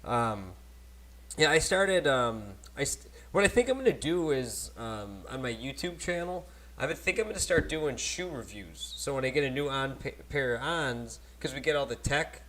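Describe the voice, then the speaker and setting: A man hosting a casual podcast on a cheap desk microphone, talking in animated, rambling bursts with frequent laughter. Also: medium pitch, moderate pace. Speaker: podcast host. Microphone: cheap desk microphone at a laptop.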